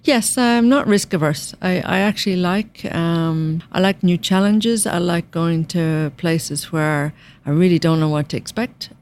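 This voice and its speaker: A woman in her fifties from Ireland, with a softened Irish accent, answering interview questions in a measured way and listing items at length. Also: lowish voice, no echo. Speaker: UN finance director guest.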